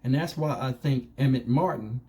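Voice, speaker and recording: A man's voice: mid-range voice, moderate pace, mellow. A man giving casual opinion commentary as a channel host, speaking colloquially and conversationally with rhetorical questions.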